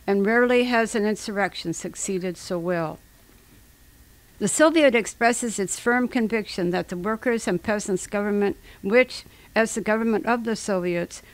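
A woman giving a dramatic radio reading of an eyewitness account, declamatory and measured, with deliberate pauses. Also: medium pitch, low-quality recording.

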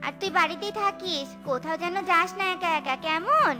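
A woman's voice: very high voice, normal speed. A woman voicing an honest, hardworking mother bird in a children's cartoon, speaking earnestly and expressively. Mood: cheerful. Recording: music under the voice.

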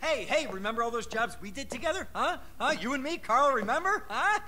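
Shrill, neurotic crooked lawyer. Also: male voice, lively intonation, brisk, pushed and bright, slight background noise, full character voice. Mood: pleading. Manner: stammering, repeating himself frantically. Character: neurotic, needy, cowardly male crooked lawyer. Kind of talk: video game cutscene acting.

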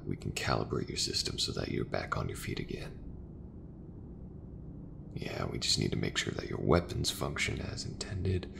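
A man plays a gentle mech mechanic in a sci-fi ASMR roleplay. His voice is extremely deep and thick with gravel, murmured softly and close to a whisper. He is unhurried and reassuring, with rumble and clicking noise clearly behind him. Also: heavily acted. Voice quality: very low, soft, breathy murmur, often near whisper.

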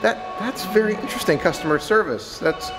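A man's voice: high-pitched, fairly quick, over music. A man in his thirties giving an enthusiastic walk-and-talk tour for a vlog, repeating words for emphasis, recorded on a camera held at arm's length. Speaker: vlogger. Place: resort lobbies and covered walkways at night. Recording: clearly noisy handheld on-location recording.

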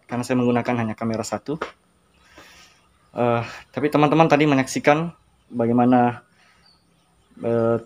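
A man's voice: mid-range voice, slight background noise. Chatty man filming himself outdoors. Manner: upbeat, chatty, addresses viewers directly. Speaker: fishing gear reviewer. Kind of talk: casual product review vlog. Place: outdoors by a school building. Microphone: handheld phone camera close up.

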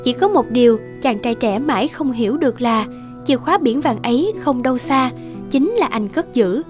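A woman narrating a scripted fairy tale for a children's cartoon in a measured storytelling manner. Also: a little high, expressive. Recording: music clearly behind the voice.

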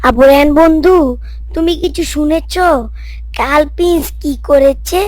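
Timid schoolboy gossip, played by a woman: high, worried, confiding, dry close recording. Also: fairly even intonation, moderate pace, darkish tone, dry recording, lightly acted. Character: timid gossiping schoolboy Ali, anxious about a bully.

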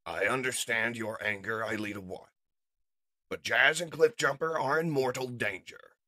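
A man playing a noble robot leader who speaks in a solemn, measured way in a comic dub. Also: mid-range voice, bright, forceful tone, no echo, clean studio sound. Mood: firm, earnest. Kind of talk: dramatic comic dub acting.

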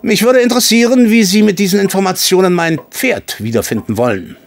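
An actor playing a commanding, sardonic colonel and racehorse owner in a German audio drama. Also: male voice, high voice, lively intonation, a little fast, vocal fry.

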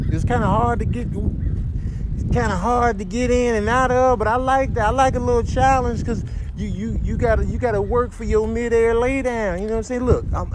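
A man's voice: very high voice, normal speed, background noise. A man voicing a laid-back, curious bear in a comic voice-over, chatty and easygoing in his slang. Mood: relaxed, pleased.

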